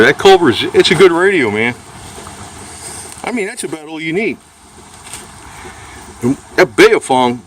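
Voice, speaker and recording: A man's voice: medium pitch, slight background noise, rough recording. A man talking in an informal, rambling, mildly profane way, like a casual show-and-tell vlog about gear. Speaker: vlogger reviewing radio gear.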